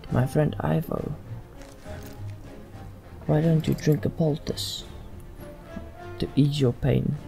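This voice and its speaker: A man doing in-character roleplay commentary over a video game, in a deliberate, formal and stilted way. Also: a little high, flat delivery, gravelly, dark tone, faint music behind. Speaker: let's play host.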